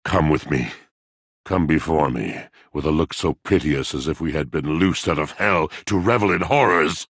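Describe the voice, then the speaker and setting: A man voicing a brooding, lovelorn, melodramatic video game character, speaking in a grandiose, poetic, mournful way with a gravelly voice. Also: rumbling low, normal speed, fairly bright tone, dry recording, clean studio sound, full character voice. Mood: anguished, seductive.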